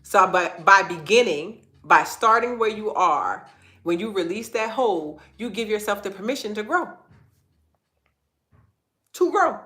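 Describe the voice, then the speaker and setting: A woman's voice: mid-range voice, expressive, unhurried, pauses often, pushed and bright. A woman hosting an inspirational faith-based livestream, earnest and repeating phrases for emphasis, her nose stuffy and congested. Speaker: host of a live online talk.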